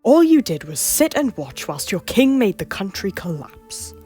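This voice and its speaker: A woman's voice: mid-range voice, sing-song, a little slow, faint music behind. A woman voicing a bitter, distrustful young male prince who claims the Scottish crown, speaking with scorn in a fan audio drama. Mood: scornful. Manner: scornful, sharp-tongued. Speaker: voice actor.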